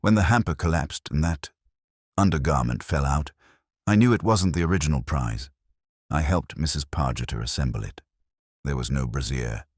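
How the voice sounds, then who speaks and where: A man voicing an ashamed, frightened village woman, soft-spoken and anxious, in an audio mystery story. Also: rumbling low, moderate pace, breathy edge, no room sound, studio quality.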